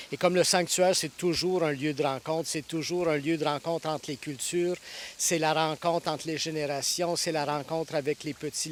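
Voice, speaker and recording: A man in his sixties from Ontario, a priest answering interview questions thoughtfully, pausing and restarting often. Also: a little high, a little hiss or hum. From Ontario, Canada. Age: 60s. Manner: thoughtful, with frequent hesitations and restarts. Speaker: priest and guest preacher.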